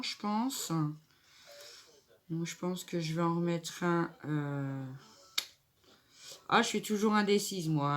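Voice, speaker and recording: A woman with a cold and a nasal voice, chatting casually as she makes a table decoration and thinks aloud. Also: on the low side. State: stuffy nose from a cold. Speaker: craft vlogger. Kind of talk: casual crafting tutorial.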